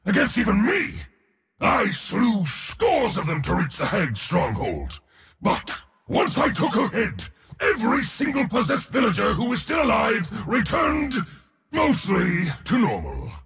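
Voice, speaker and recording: An actor playing an arrogant, bloodthirsty war god for a video game, with a pompous, declamatory delivery. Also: male voice, sits high, huge pitch swings, unhurried, creaky, a bit bright, shouting, long reverb tail, heavily acted. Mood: battle-hungry, boastful, then haughty storytelling. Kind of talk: theatrical video game character acting.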